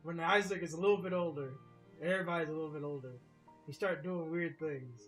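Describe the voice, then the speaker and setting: A man's voice: a little high, quick pace, fairly bright tone, soft background music, rough recording. A man giving casual gaming commentary in a rambling, argumentative way, with some swearing. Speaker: commentator on a video game let's play.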